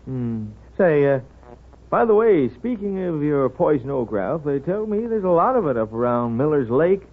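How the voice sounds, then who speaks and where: An actor playing a wise, sly small-town doctor in a 1930s radio drama, with folksy hems and haws. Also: male voice, a little high, big pitch swings, moderate pace. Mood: feigned innocence. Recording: tinny, narrow 1930s radio broadcast.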